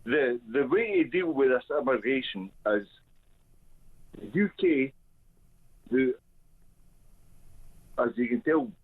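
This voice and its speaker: Scottish male caller, blunt and halting, slow delivery, phone-line sound. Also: mid-range voice, big pitch swings, husky. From Scotland. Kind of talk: phone-in call.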